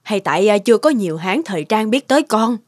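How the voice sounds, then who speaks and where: A woman voicing an anxious, overprotective middle-aged mother in an animated moral tale, with a fretful, earnest manner. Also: mid-range voice, quick pace, dry recording, studio quality, close to an everyday voice. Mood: coaxing.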